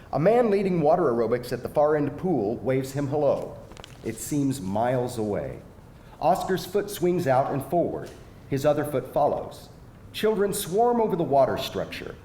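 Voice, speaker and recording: Expressive male narrator in an echoey room. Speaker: narrator reading stage directions. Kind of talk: scripted narration at live table read. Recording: echoey live room, faint rumbling noise.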